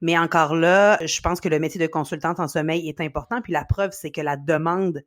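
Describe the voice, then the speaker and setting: Low-voiced Québécois woman guest. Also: fairly even intonation, a little fast. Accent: Québécois French. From Quebec, Canada. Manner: earnest, hesitant with many fillers. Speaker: sleep consultant and company founder. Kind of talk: podcast interview.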